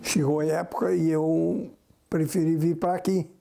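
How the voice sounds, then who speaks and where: An older man from Portugal, recalling his past in an interview in a halting way, repeating and restarting words. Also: a little high, flat delivery, vocal fry, music in the background.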